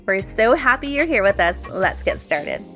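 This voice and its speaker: Hoarse woman hosting an upbeat market vlog. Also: mid-range voice, very animated, normal speed. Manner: upbeat, enthusiastic food and market tour. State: losing her voice, hoarse. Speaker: travel vlog host. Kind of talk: casual vlog narration. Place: outdoor neighborhood market. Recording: loud background music. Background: music.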